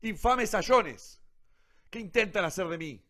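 A man's voice: high voice, expressive, slow pace, pushed and bright, exaggerated voice. A man playing an indignant, defiant young captive in a radio play. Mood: indignant outcry.

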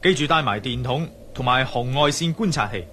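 A man's voice: mid-range voice, fairly quick, pushed and bright, slight background noise, natural voice. A man with a Hong Kong accent playing a bold, courteous Chinese adventurer hero in a radio drama. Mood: resolute, instructing.

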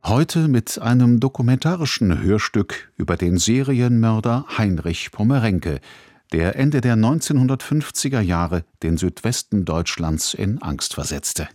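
A man's voice: fairly low pitch, darkish tone, no echo, studio quality. A man reading scripted narration for a radio documentary play. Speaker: narrator and presenter.

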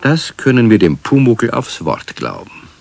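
A man's voice: mid-range voice, very animated, a little slow, creaky, darkish tone, heavily acted. A man narrating a children's radio play as a wry, genial storyteller. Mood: wry narration.

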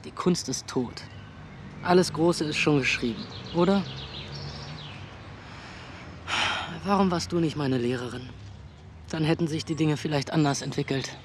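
A cynical young would-be novelist tells strange stories in a dry, sardonic way, as an actor plays him. His voice sits a little high for a man. A faint hiss lies under the radio drama. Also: moderate pace, lightly acted.

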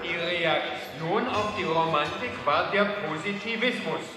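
A man plays a pompous conference orator in a radio play. He lectures slowly in a high voice, with formal, stylized phrasing. It booms through a very echoing hall with loud music. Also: lightly acted. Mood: declamatory.

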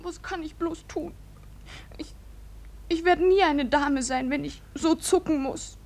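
A fretful young schoolmistress. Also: female voice, high-pitched, measured pace, darkish tone. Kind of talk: radio play acting.

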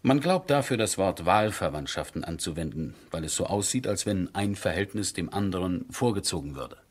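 An actor playing an earnest, courteous military captain with a scientific bent, speaking measured, formal in a 1970s radio drama. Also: male voice, low voice, expressive. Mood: lecturing.

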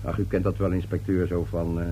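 A man's voice: deep voice, little pitch movement, fast talker, dark tone. An actor playing an irritable, domineering middle-aged father and businessman in a radio play. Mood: uneasy, chatty. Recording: hummy, noisy old radio recording.

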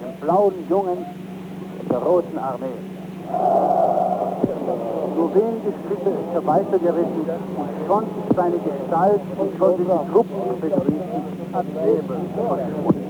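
A man's high voice comes through a dull, heavily noisy archive radio recording. He declaims in slow, emphatic phrases, like a reporter or speaker of the 1930s.

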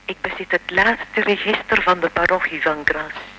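An actress playing a composed, mysterious old French lady in a radio play, speaking formally and with measured care. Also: fairly low pitch, pushed and bright, breathy edge, rough recording. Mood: composed, revealing. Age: older.

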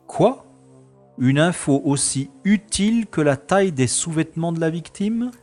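An actor playing a brisk, self-assured and sarcastic special agent in a science-fiction audio drama. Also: male voice, on the high side, animated, darkish tone, soft background music. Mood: sardonic. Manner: sarcastic, commanding.

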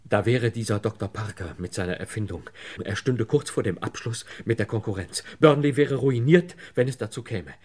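An actor playing a desperate, broken man under police questioning in a radio crime play, halting and pleading. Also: fairly low pitch, a little mellow, natural voice. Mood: anxious, confessing. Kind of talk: German radio crime play acting, 1950s to 80s.

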